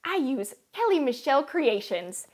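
A young woman playing a chirpy, wacky cooking-show host in a home kitchen, with a bubbly sing-song delivery and sudden hushed asides. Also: high voice, very animated, moderate pace. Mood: perky. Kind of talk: parody cooking show, scripted comedy.

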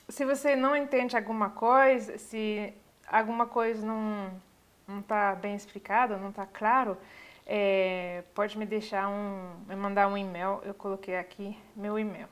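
A woman delivers a lecture over a video call. She speaks at a middling pitch and pauses often, filling the gaps with short sounds while she finds her words. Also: clear, resonant tone. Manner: hesitant, many filler pauses. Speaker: university lecturer. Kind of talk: online lecture over slides. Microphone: webcam on a video call.

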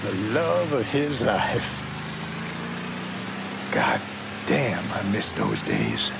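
An actor playing a nostalgic older mobster, earnest and reassuring, in a crime game. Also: male voice, lowish voice, huge pitch swings, drawn-out words, creaky, full character voice. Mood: wistful, earnest. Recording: loud car engine noise. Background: car engine and driving.

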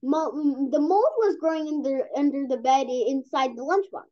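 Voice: high voice, moderate pace, warm tone. A young-sounding host reading a children's science comic aloud, stumbling over and repeating words. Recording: dull lo-fi recording.

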